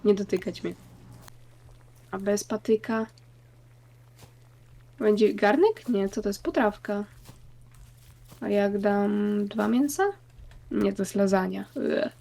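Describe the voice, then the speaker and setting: A woman's voice: mid-range voice, takes it slowly, gravelly, pauses often, mellow. A woman talking casually with friends while playing a game together. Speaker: co-player in a multiplayer game. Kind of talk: casual gaming chat.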